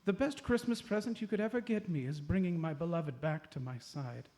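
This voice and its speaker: A man's voice: a little high, brisk, darkish tone, voice pushed down, close to an everyday voice. A man voicing a sweet, lovelorn older woman, earnest and fussy, in a live comedy radio play. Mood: pleading, sentimental.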